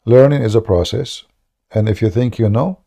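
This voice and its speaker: Gravelly low-voiced man lecturing earnestly. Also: moderate pace, warm tone. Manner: earnest, lecturing, speaks English as a second language. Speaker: host. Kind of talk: casual chat answering a caller.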